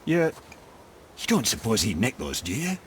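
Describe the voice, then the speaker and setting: An actor playing a wry, knowing older guide in a video game. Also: male voice, mid-range voice, wildly swooping pitch, moderate pace, slight background noise. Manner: wry, explanatory, conversational. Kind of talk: dramatic video game acting.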